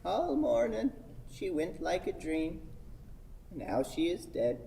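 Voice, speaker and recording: A woman playing a stiff, grumbling old gentleman motorist in a radio play, with a dry, long-suffering manner. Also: lowish voice, sing-song, takes it slowly, vocal fry, full character voice. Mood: dry, gloomy. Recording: reverberant hall, faint noise.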